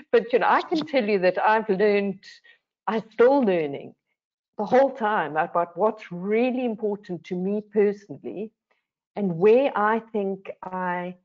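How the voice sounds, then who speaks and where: A woman's voice: mid-range voice, measured pace. An older woman speaking as a panel guest, earnest and emphatic, often posing questions to herself and adding "right?". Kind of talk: reflective discussion. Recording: dull lo-fi video call audio.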